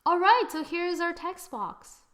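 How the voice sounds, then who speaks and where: A woman hosting a screen-recorded software tutorial, chatting as she works and correcting herself with little exclamations. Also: very high-pitched, lively intonation, a little slow. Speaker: tutorial host.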